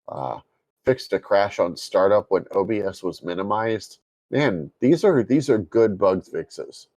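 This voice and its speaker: A man with a bassy voice co-hosting a casual tech podcast, amused and quick to laugh. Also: lively intonation, a little mellow, dry recording. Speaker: podcast co-host.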